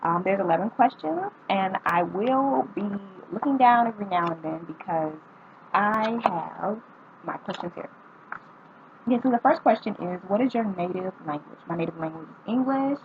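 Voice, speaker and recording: A woman's voice: medium pitch, animated, moderate pace, narrow, tinny audio, noisy background. A woman in her twenties from North Carolina, vlogging casually as she reads out a list of questions and answers each one with frequent ums. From North Carolina, United States. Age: 20s.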